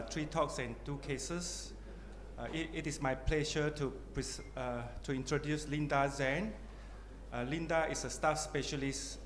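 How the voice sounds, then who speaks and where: A man, a surgeon, introducing speakers as chair of a medical conference session, with frequent hesitations and fillers. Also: medium pitch. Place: conference hall. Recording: echoey hall with noisy ambience.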